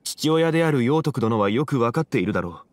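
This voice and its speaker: An actor playing a calm, wise, courteous gentleman in a game drama, speaking in a composed, old-fashioned formal way. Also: medium pitch, brisk, dry recording, lightly acted. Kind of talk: Japanese anime game dialogue.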